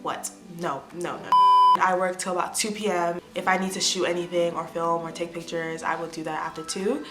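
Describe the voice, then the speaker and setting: A young American woman in her twenties chatting casually to the camera as an influencer, answering fan questions with animated bursts of excitement. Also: fairly low pitch, normal speed, reverberant, loud music behind the voice, rough recording. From United States. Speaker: lifestyle influencer. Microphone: phone or tablet camera.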